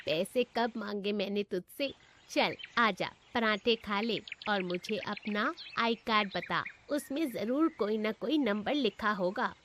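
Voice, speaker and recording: A woman voicing a kind, motherly old street-cart cook in a cartoon moral story, speaking gently and affectionately. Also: medium pitch, takes it slowly, slight background noise. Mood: concerned, kindly. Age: older.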